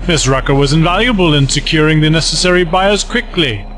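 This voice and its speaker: A man's voice: fairly high pitch, a little fast, fairly bright tone, a little hiss or hum, natural voice. A man playing a cruel, commanding Time Lord villain in an audio drama, pompous and menacing. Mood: smug menace. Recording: music behind.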